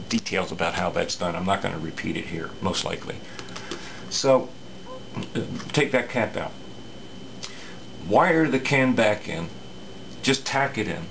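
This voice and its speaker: Gravelly low-voiced man explaining radio repair. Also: a bit bright, a little hiss or hum, low-quality recording. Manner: halting, many fillers and restarts. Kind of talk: casual workbench repair talk.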